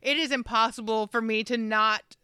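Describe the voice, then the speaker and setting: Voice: mid-range voice, fairly even intonation, normal speed, bright, forceful tone, clean tone, no room sound, clean studio sound. A co-host in casual chat, enthusiastic and swearing a lot, with many fillers.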